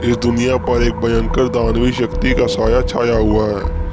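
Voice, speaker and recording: Man as a slow, solemn praying sage. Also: mid-range voice, little pitch movement, lightly acted. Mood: solemn pleading. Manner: solemn, reverent. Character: devout male ascetic sage pleading to goddess. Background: loud music.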